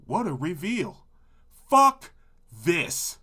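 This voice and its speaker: A man's voice: high-pitched, sing-song, drawn-out words, sharp tone, husky, full character voice. A man playing a foul-mouthed, exasperated and sarcastic pony hero in a comedic audio drama. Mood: exasperated, furious.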